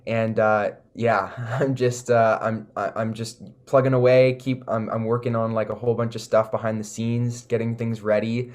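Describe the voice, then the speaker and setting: A man's voice: medium pitch, flat delivery, moderate pace, hardly any pauses, a little mellow. A young man with a Canadian accent chatting casually and earnestly as an interview guest, leaning on "like" and "you know". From Toronto, Canada. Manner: earnest, filler-heavy with frequent "like" and "you know". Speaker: recording artist, interview guest.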